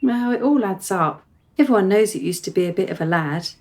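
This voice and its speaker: A woman's voice: medium pitch, animated, fairly quick, darkish tone, lightly acted. A woman playing a precocious, forthright young girl in a radio play, articulate and insistent in manner. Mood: probing. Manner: articulate, formal wording, insistent.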